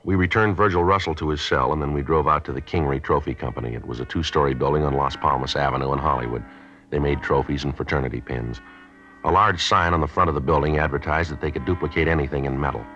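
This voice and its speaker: An actor playing a terse, matter-of-fact police sergeant in an old-time American radio crime drama. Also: male voice, very deep voice, quick pace, a bit bright. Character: terse, businesslike male police sergeant, posing as buyer. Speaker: police detective narrator. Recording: dull vintage radio recording, music behind.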